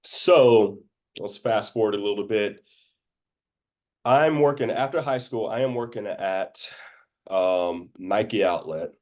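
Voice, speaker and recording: A man's voice: lowish voice, takes it slowly, darkish tone. A man from the rural southern United States, talking informally as an interview guest and telling stories in a casual, conversational way. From southern United States, rural Georgia and Florida Panhandle.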